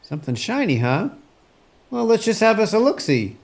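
A man's voice: high voice, sing-song, moderate pace, vocal fry, full character voice. Casting: kindly country neighbor for family radio drama, a male actor, easygoing and folksy, with widely swinging intonation. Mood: curious, amazed. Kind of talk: radio play acting.

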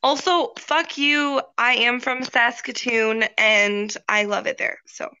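A bright, fairly high-voiced woman in her late twenties, playful and defensive, cursing freely. Her voice comes through a dull, lo-fi line. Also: steady tone, takes it slowly, clean tone. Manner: playful, defensive, swears a lot. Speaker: co-host. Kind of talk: casual chat.

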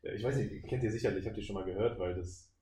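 A man hosting a podcast, asking casual questions in a halting way as he searches for words. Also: on the low side, mellow. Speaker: podcast host. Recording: distant mic, roomy echo, noticeable noise.

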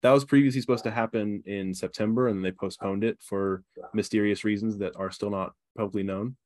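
A young man co-hosting a sports podcast, talking in a relaxed, soothing, smooth way. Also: lowish voice, brisk. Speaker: podcast co-host. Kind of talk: casual sports chat.

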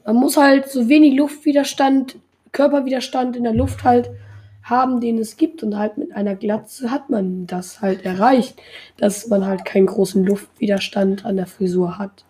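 A woman's voice: fairly high pitch, normal speed, darkish tone, slightly rough. A young woman in her teens hosting a casual podcast, rambling along with lots of filler words. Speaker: podcast host.

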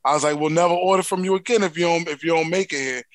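Man, philly accent, lively storyteller, slightly high pitch, dry close mic. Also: rapid-fire, dry recording. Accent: Philadelphia. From Philadelphia. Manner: animated storyteller, laughs loudly at his own stories. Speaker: co-host. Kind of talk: casual podcast chat.

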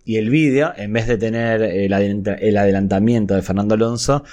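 A man's voice: on the low side, fairly quick, a little mellow. Casting: co-host, man with an Argentine accent, playful and teasing. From Galicia, Spain. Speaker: podcast co-host. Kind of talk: casual podcast chat.

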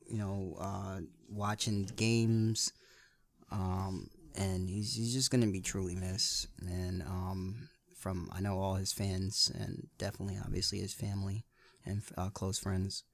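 A man co-hosting a podcast, speaking casually and reflectively in halting, measured short phrases. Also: deep voice, level tone, gravelly. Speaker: podcast co-host.

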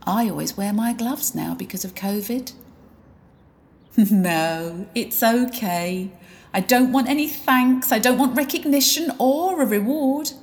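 A woman's voice: on the high side, measured pace, darkish tone. A woman playing a self-righteous narrator in an audio drama, speaking in a prim, gloating way about the murder she committed. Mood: smug. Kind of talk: amateur audio drama acting.

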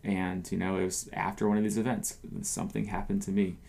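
Low gravelly man, rambling casually. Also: little pitch movement, brisk. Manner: rambling, many fillers like "like" and "you know", trailing off softly. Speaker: podcast co-host. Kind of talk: casual discussion.

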